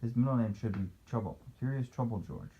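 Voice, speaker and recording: Mellow dad reading a storybook, slightly muffled. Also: male voice, mid-range voice, big pitch swings, a little fast, gravelly, a little hiss or hum. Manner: playful, engages the child with questions. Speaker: father reading a picture book aloud to a child. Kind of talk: storybook read-aloud with casual asides.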